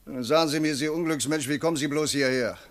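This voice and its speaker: An actor playing a shrewd, lenient army commandant in a radio play, questioning briskly with wry amusement and an air of command. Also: male voice, a little high, lightly acted. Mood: brisk, probing. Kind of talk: German radio play acting.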